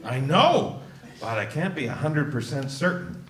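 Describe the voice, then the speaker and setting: An actor reading a laid-back, deadpan man at a live table read. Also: on the high side, a little slow. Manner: deadpan, dry. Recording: echoey hall with faint noise.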